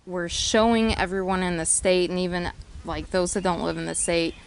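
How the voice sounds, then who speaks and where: A woman's voice: fairly low pitch, fairly even intonation, moderate pace, vocal fry, clear, resonant tone, dry recording. A woman interviewed for the news, speaking earnestly and passionately with some restarts. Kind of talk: news soundbite.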